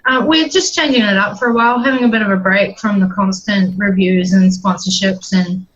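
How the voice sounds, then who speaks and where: A woman's voice: medium pitch, brisk, a bit bright. A woman with a New Zealand accent hosting a casual livestream chat, rambling and chatty with plenty of ums. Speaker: host. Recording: dull lo-fi stream audio.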